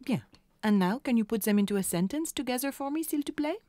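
A woman's voice: a little high, lively intonation, fast talker, warm tone, no room sound, studio quality, lightly acted. An actress playing a kindly French woman working at a hotel in a children's audio story, with a French accent. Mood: kindly, encouraging.

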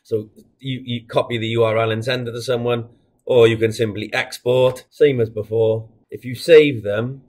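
A man in his thirties giving a casual software tutorial, explaining each step in a plain, informal way as a product demonstrator. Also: medium pitch, fairly even intonation, moderate pace. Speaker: product demonstrator for a security equipment supplier.

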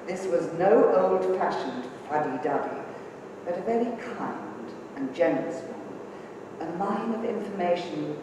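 Middle-aged woman, tribute speech, long church reverb, distant and noisy. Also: on the low side. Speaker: speaker at a memorial service. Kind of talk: prepared tribute speech read aloud. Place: large church, indoors.

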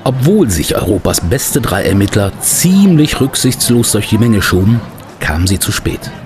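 A man's voice: on the low side, big pitch swings, normal speed, background noise. A man acting as the storyteller of a detective adventure radio play, reading scripted narration. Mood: narrating.

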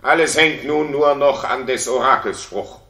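A man's voice: medium pitch, moderate pace, sharp tone, natural voice. An actor playing a jealous, wrathful king in a declamatory, imperious 1960s radio drama style. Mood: imperious, justifying. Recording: roomy 1960s radio studio recording.

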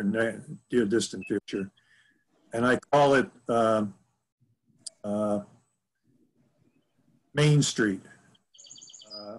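Guy in his 70s chatting on a video call, halting, wanders and restarts, reminiscing. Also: medium pitch, low-quality recording. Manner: rambling, halting, self-correcting. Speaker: author answering audience questions. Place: room at home. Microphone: computer mic on a video call.

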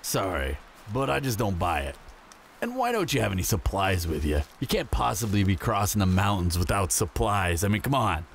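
A man's voice: on the low side, big pitch swings, moderate pace, a little hiss or hum. A man playing a menacing, smug apocalypse bandit in an audio roleplay, taunting and mock-friendly with a drawl. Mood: mocking, suspicious.

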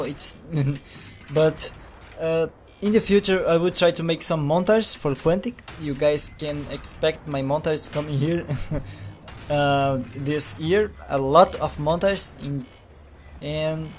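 A man's voice: a little high, a little hiss or hum. A young man with a Brazilian Portuguese accent introducing himself in casual, hesitant English, with frequent laughs and repeated words. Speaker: video producer. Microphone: voice-over over gameplay.

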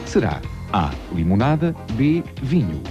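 A man's voice: medium pitch, very animated, unhurried, lo-fi sound, over music. A man with a European Portuguese accent reading a scripted promotional voice-over for a TV contest.

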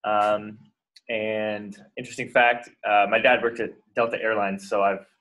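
A young man from Washington State, a seminary student, introducing himself calmly with some hesitation in a classroom. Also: fairly low pitch, a little slow, low-quality recording. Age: young adult.

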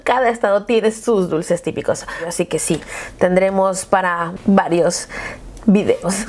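A young woman with a Mexican Spanish accent chatting casually to camera as a video blogger, expressive, gushing and emphatic. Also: medium pitch. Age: young adult. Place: small furnished room at home with bookshelves.

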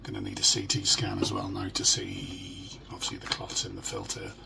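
A middle-aged British man with a hoarse, croaky voice, talking haltingly and in a downbeat way in a casual personal video diary. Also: low voice, big pitch swings, in a whisper. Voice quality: croaky, gravelly. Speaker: vlogger. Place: small furnished room at home. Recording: noisy home phone or webcam recording.

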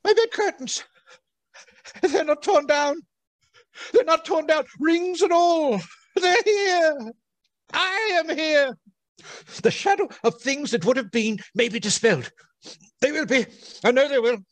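An actor playing an old repentant miser in a radio play, with fervent, theatrical, exclamatory delivery. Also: male voice, extremely high pitch, a little slow, long pauses, dry recording. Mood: joyful relief.

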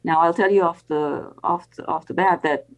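An older woman, an academic guest, explains things on a video call in a careful, halting way with many pauses. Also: lowish voice, fairly even intonation, poor audio. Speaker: interview guest, professor and planetary scientist. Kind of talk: explanatory interview answers.

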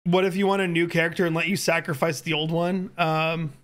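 A man in his early thirties with a hoarse voice, chatting casually as a live streamer on a close mic. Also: on the high side, level tone, brisk, fairly bright tone. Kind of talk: casual livestream chat. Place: indoor home streaming room. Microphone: close boom-arm microphone. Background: music.

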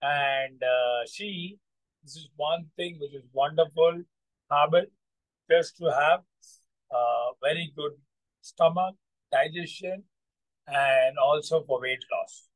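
Indian guy coach, highish voice, stop-start and encouraging, pretty dry sound. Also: dry recording, rough recording. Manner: halting, repetitive, encouraging. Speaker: coach and host. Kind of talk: motivational storytelling to an online group.

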